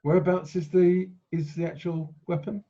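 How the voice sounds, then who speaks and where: A man's voice: sits high, flat delivery, moderate pace, mellow. A man talking casually as a player in a tabletop game, on a slightly muffled microphone.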